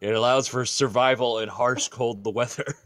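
A man's voice: medium pitch, steady tone, moderate pace, music in the background. A man reading aloud playfully among friends in a word game, prone to breaking into laughter.

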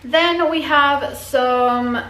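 A woman's voice: high voice, extremely slow, sharp tone. A woman in her forties chatting casually to camera as a vlogger, often questioning herself aloud. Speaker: vlogger showing a grocery haul. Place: indoors in a home dining room. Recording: echoey room, clear background noise.

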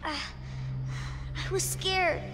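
Very high-voiced frightened little girl. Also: fairly even intonation, very slow, exaggerated voice. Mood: scared, hurt. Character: frightened young girl, pleading and vulnerable. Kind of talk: dramatic video game voice acting. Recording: noisy, echoing hall, sound effects behind.

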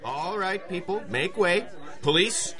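An actor playing a polite, serious police constable, deferential in manner, in a radio drama. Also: male voice, high voice, slow pace, pushed and bright, soft background music, some chatter behind, exaggerated voice. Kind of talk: 1930s-style radio drama acting.

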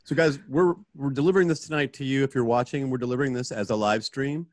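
A man's voice: mid-range voice, steady tone, fast talker, a little mellow, dry recording, clean studio sound. A man directing a radio play, explaining the production and reading out stage directions. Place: home, on a group video call.

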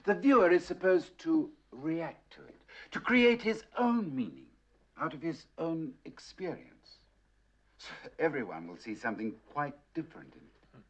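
An actor playing a proud, wounded middle-aged painter with a British accent, measured and challenging, in a 1960s horror film. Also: male voice, a little high, lively intonation. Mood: defensive, restrained. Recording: dull old film soundtrack.

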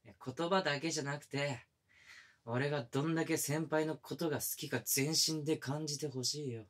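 Breathy, pushy puppyish younger boyfriend played by a man. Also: on the high side, fairly even intonation, fairly quick, a bit bright, no echo. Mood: coaxing, affectionate. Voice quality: breathy, often dropping to a whisper. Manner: teasing, coaxing, close-up murmuring. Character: pushy, affectionate younger male coworker in love, puppyish. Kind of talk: intimate ASMR boyfriend roleplay. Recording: close binaural recording with background music.

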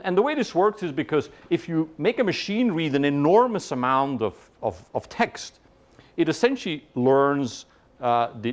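A middle-aged man giving a university lecture in a large hall, lively and conversational, often checking in with "right?" and quizzing his audience. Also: medium pitch. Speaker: guest lecturer.

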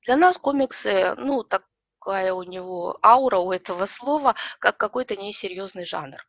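A middle-aged woman presents an online lesson in a hoarse, creaky voice thick with a cold. She explains things step by step, with hesitant pauses as she picks her words. The sound is dry and close, with little room in it. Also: medium pitch, no echo. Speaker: webinar presenter, librarian and teacher. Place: small room with bookshelves, indoors.

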